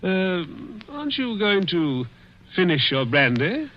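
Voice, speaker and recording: A man's voice: high voice, big pitch swings, slow pace, slight background noise, exaggerated voice. An actor playing a cruel, dying rich man who taunts with sly chuckles in a 1940s radio drama. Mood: menacing. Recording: dull old radio broadcast.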